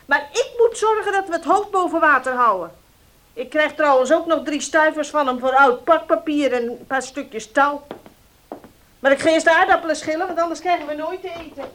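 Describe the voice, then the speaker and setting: A woman's voice: high voice, normal speed, fairly bright tone. An actress in a Dutch radio play playing a nagging, domineering, money-minded mother who scolds her household. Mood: exasperated. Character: shrill, nagging, money-minded middle-aged mother and wife.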